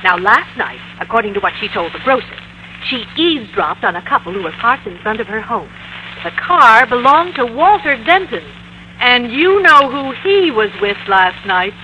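A woman's voice: fairly high pitch, very animated, moderate pace. An actress playing a grave, proper middle-aged wife and mother in an old-time radio comedy, formal and emphatic. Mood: firm, worried. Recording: dull, noisy vintage radio recording.

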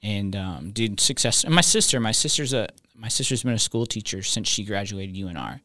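A man's voice: on the low side, lively intonation, brisk, pushed and bright, no room sound, studio quality. Laid-back man who laughs a lot. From Reno, Nevada. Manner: laid-back, laughs often, says dude. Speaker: pastor. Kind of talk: casual chat.

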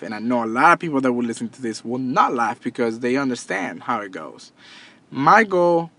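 Earnest bright-voiced man in his thirties. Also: medium pitch, dry recording. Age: 30s. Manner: earnest, repeats himself and restarts often. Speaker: podcast host and entrepreneur. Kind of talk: casual motivational monologue.

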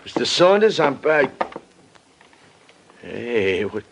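An actor playing a plain-spoken, deferential and puzzled errand-running stooge in an old radio crime drama. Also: male voice, mid-range voice, sing-song, takes it slowly, creaky, background noise, heavily acted. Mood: puzzled, uneasy.

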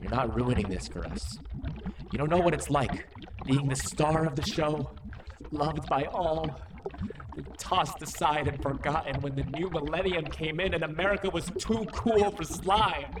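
An actor playing a bitter, washed-up slime monster game show host in an audio drama, indignant and showy. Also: male voice, fairly high pitch, measured pace, distant mic, noisy background, lightly acted.

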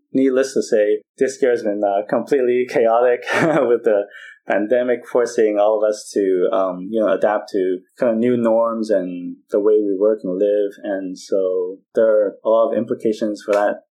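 Man, interview host, low mellow voice, relaxed and friendly. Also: poor audio. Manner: friendly, relaxed, uses fillers. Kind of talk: conversational interview.

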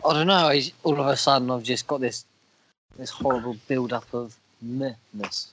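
A man with a croaky voice from a cold, co-hosting a podcast in casual, self-deprecating chat. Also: medium pitch, moderate pace, a bit bright, no room sound, rough recording. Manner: chatty, self-deprecating, a bit crude. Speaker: podcast co-host.

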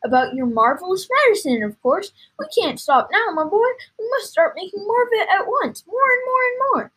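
A woman voicing an excitable, impatient father who exclaims and insists as she reads a children's story aloud. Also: high voice, normal speed, voice pushed up, rough recording. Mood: excited.

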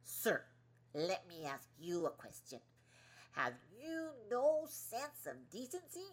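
An actress playing a curt, indignant government press secretary who scolds and talks down with emphasis in an audio play. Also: female voice, fairly low pitch, wildly swooping pitch, slow, full character voice. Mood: scolding.

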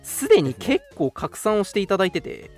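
A man's voice: high-pitched, wildly swooping pitch, a little fast, faint music behind. A man hosting a radio show, giving announcements in a polite, chatty way. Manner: polite, chatty, frequent interjected particles. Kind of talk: casual scripted-segment radio announcements.

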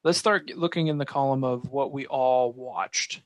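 A man's voice: mid-range voice, normal speed, dry recording. A man hosting a casual podcast chat, teasing and joking with his co-hosts. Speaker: podcast host.

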